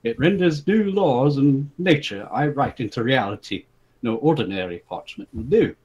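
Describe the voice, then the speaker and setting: A man's voice: medium pitch, expressive, normal speed, a little mellow, muffled recording, pitched above the performer's own voice, close to an everyday voice. A man voicing a hapless, exasperated galactic king in a comic story, with theatrical, pleading delivery. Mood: defensive.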